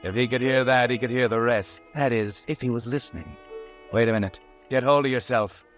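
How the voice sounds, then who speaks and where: A man's voice: mid-range voice, brisk, faint music behind, close to an everyday voice. A man voicing a taunting ominous narrator. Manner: taunting, goading, second-person. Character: ominous male narrator, mocking inner voice. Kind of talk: 1940s radio drama narration.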